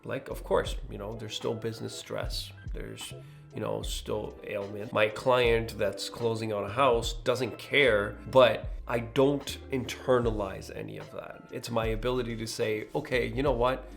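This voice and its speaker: A man in his mid-thirties speaking as host in a reflective personal monologue, with an earnest, deliberate delivery. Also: lowish voice, fairly even intonation, gravelly, faint music behind. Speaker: host, new father.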